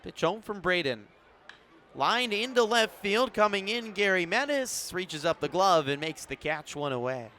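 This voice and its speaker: A man's voice: high voice, sing-song, a little slow, sharp tone, a little hiss or hum. A man doing live radio play-by-play commentary of a baseball game as the announcer. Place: broadcast position at an outdoor ballpark in the evening.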